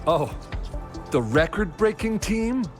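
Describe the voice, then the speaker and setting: An actor playing an eager, argumentative young male relay racer in a video game, brash and excitable. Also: sits high, slow, over music, heavily acted. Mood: doubtful, curious.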